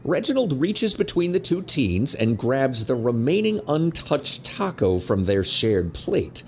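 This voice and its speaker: A man's voice: medium pitch, sing-song, measured pace, gravelly, a little mellow, a little hiss or hum. A man narrating a scripted teen audio drama as a wry storyteller. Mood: descriptive.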